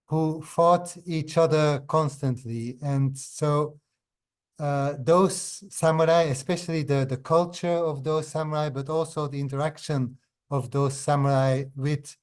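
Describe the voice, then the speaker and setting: Slow, hesitant Belgian-born man on a video call. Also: a little high, darkish tone. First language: not English. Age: 50s. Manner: hesitant, frequent fillers and restarts. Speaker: guest speaker, historian. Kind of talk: webinar talk.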